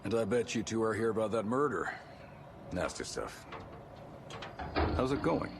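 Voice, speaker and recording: Jovial small-town game character, chatty salesman, very lively intonation, clear ambient noise behind. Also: lowish voice, normal speed. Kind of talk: video game character acting.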